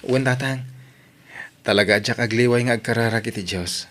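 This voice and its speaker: A man's voice: mid-range voice, moderate pace, a bit bright. A man playing a respectful young adult son who has just finished his studies. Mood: earnest. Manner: respectful, earnest. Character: grateful young adult son, hardworking graduate.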